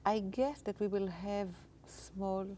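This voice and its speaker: A woman from Israel, a theater teacher, speaking as a guest in an interview, measured and sometimes searching for words. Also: mid-range voice, steady tone, dark tone, slight background noise. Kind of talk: interview conversation.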